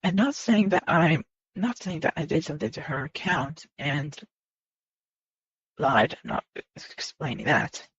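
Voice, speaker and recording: A person speaking unscripted to viewers in a personal confession, earnest and halting, often repeating phrases and starting over. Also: medium pitch, animated, fairly bright tone, breathy edge. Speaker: video creator. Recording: muffled lo-fi mic in echoey room.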